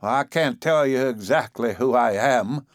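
A man's voice: medium pitch, a little slow, no echo, clean studio sound, pitched above the performer's own voice, natural voice. A man voicing a querulous old man of about seventy with a cracked, weak, whining voice in a storybook reading. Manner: querulous, complaining. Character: querulous ancient old man, newly born.

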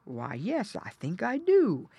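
A fat, placid papa pig from a children's storybook, voiced by a woman. He is sensible and measured, in a bright voice with lively inflection. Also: mid-range voice, no echo, pitched above the performer's own voice. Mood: considering.

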